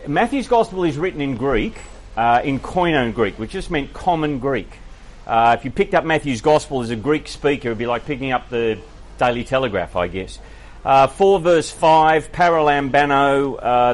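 A man, a pastor, answering faith questions from the congregation in a thoughtful, hesitant way with many fillers. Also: mid-range voice. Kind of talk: Q&A sermon.

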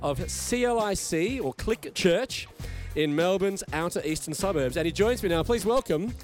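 A man's voice: high voice, expressive, moderate pace, soft background music. A man hosting a live interview show outdoors at a festival, upbeat and presenter-like. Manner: upbeat, presenter-style introductions. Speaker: show host.

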